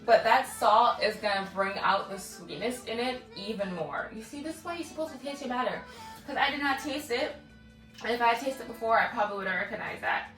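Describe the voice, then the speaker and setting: A woman's voice: fairly high pitch, lively intonation, a little fast, a bit bright. A woman with a Jamaican accent chatting casually as a cooking vlogger, filling her talk with "like" and "guys". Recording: echoey room, distant mic, music underneath.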